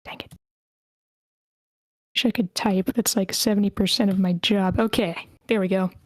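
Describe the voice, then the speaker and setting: A woman's voice: medium pitch, steady tone, normal speed, no room sound. Woman hosting a script read, dry sound. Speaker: host of a script table read, reading stage directions. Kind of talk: live read-aloud of screenplay directions and casual hosting. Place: online meeting.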